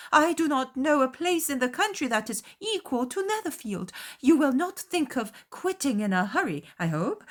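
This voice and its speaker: An excitable middle-aged Regency mother, as a female reader plays her, pitched high and very animated. She gushes and takes offense with great emphasis, in the style of a dramatized audiobook. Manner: gushing, indignant, emphatic.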